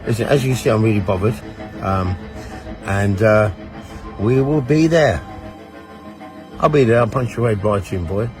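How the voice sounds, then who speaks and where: Menacing low trash-talking fighter over music. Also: male voice, normal speed, pauses often. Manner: aggressive, threatening, profane. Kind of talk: trash-talk promo. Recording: music bed behind voice.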